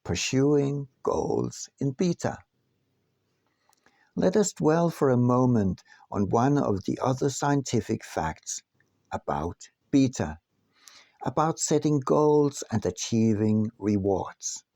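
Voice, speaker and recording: A man narrating his own audiobook from a script in a deliberate, emphatic manner. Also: medium pitch, very animated, vocal fry, dark tone, no echo, studio quality. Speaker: author reading own book.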